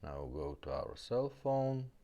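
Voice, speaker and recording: A man's voice: mid-range voice, big pitch swings, slow, warm tone, lo-fi sound. A man casually demonstrating a car stereo, talking in a plain, matter-of-fact way and often checking in with "okay?". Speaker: car radio repair host.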